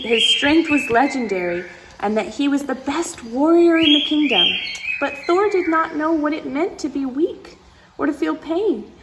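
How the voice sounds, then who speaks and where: A woman reading a storybook aloud to young children as a story time host, expressively, with exclamations and asides to her listeners. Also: high voice. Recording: clear background noise.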